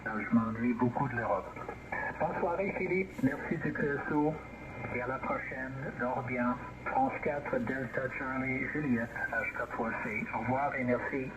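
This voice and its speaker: A man's voice: medium pitch, moderate pace. A man chatting casually as an amateur radio operator, his voice coming through a radio transmission. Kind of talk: casual ham radio conversation. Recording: very noisy, dull radio transmission. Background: loud radio static noise.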